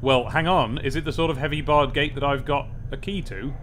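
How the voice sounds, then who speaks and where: A man's voice: on the high side, fairly even intonation, fairly quick, a bit bright, slight background noise. A British man giving playful, wry, sardonic video game commentary, chatting casually and reading text aloud dramatically. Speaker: let's play host.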